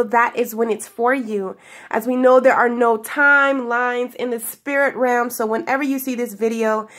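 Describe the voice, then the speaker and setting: A young woman with a stuffy voice from sinus trouble, giving a spiritual daily message to her viewers in a giggly, affectionate way, drawing out her words. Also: a little high. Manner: affectionate, giggly, drawn-out phrases. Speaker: spiritual guidance vlogger. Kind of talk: casual spiritual talk to viewers. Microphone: camera in front of her.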